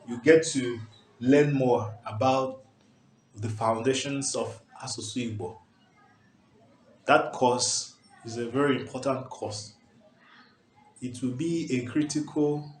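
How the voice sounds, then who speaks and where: A man's voice: mid-range voice, darkish tone. A man, teaching a language lesson at a whiteboard, emphatic and checking in with "okay?". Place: small indoor room before a whiteboard. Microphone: camera at close range. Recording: echoey room, faint background hiss.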